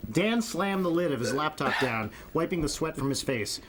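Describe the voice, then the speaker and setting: A man's voice: on the high side, lively intonation, moderate pace. A man hosting a charity stream, asking for donations and reading aloud while laughing and flustered. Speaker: stream host. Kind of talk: casual chat, reading aloud. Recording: loud background noise.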